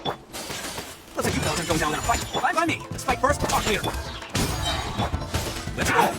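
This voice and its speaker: Game role: brash young male oni, higher-pitched, very animated, slangy swagger, good-hearted. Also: moderate pace, loud music behind the voice. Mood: fired up. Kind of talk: video game voice acting.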